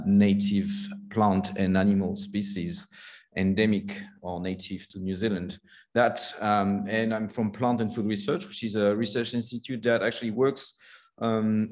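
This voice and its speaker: A man with a French accent presents research at a conference, his voice sitting on the low side. He talks in a friendly, halting way, stopping often on fillers as he finds his words. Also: darkish tone. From France. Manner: hesitant, many fillers, friendly. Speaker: genomics researcher giving a talk. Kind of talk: conference talk. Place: conference room.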